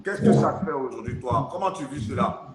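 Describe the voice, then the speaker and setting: A man's voice: mid-range voice, expressive, fairly quick. A man hosting a live stream interview, probing with questions and cutting in. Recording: echoey room with loud noise.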